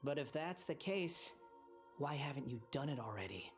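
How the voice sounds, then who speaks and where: Brief: cynical young outcast, mid-pitched, smooth and mocking, game cutscene. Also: male voice, big pitch swings, moderate pace, soft background music. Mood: sardonic. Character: sardonic young man, a fallen former enforcer. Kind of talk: video game voice acting.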